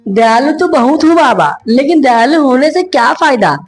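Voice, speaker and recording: A woman voices a poor village husband in a cartoon fable. The voice is high for the part, earnest and tender-hearted, with music behind it. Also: lively intonation, moderate pace. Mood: worried, lamenting. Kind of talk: cartoon moral story dialogue.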